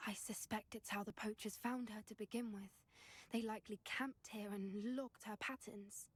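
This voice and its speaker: A woman's voice: mid-range voice, steady tone, normal speed, husky, natural voice. A woman voicing an earnest, thoughtful, polite young student girl who loves animals, in a video game. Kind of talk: video game dialogue acting.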